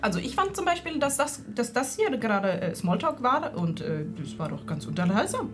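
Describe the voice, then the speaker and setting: Lively woman playing a tabletop game. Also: fairly high pitch, normal speed, over music. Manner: polite, hesitant, with many fillers. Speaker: player. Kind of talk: in-character tabletop roleplay.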